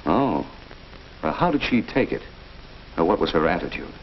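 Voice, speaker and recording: An actor playing a patient family doctor who explains things didactically in an old educational film. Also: male voice, low-pitched, very animated, moderate pace. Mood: matter-of-fact. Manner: didactic, rhetorical questions. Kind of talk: mid-century educational film acting. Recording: dull, noisy old film soundtrack.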